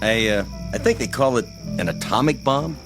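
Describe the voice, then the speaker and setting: An actor playing a plain-spoken army officer delivering orders and news in a radio drama. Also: male voice, medium pitch, animated, moderate pace, over music, natural voice. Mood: excited news-bearing.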